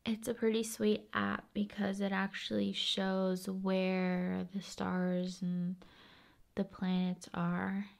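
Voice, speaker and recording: A woman talks softly in a casual ASMR style at a very unhurried pace. Her voice sits at an average pitch and often drops into a whisper. She rambles gently, leaving space between phrases. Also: completely flat delivery, gravelly. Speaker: ASMR video host.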